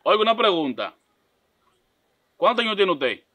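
A man's voice: high-pitched, sing-song, moderate pace, noisy background. A man in his thirties with a Dominican accent, making an insistent, repetitive, confrontational prank phone call. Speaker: prank caller. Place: outdoors by a block wall.

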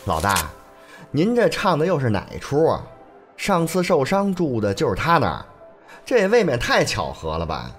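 Man playing a cocky henchman, lively swooping delivery. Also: mid-range voice, normal speed, soft background music. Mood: sardonic, suspicious. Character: loyal, talkative male gang henchman, cocky and familiar. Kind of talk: audio drama acting.

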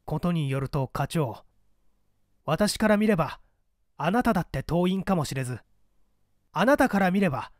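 男性が演じる冷静で抑揚豊かな刑事. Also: male voice, a little high, expressive, normal speed, pauses often, dry recording, studio quality, lightly acted. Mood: urgent, earnest. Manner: composed, methodical, polite. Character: calm, shrewd male police detective reporting. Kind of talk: dramatized audiobook reading.

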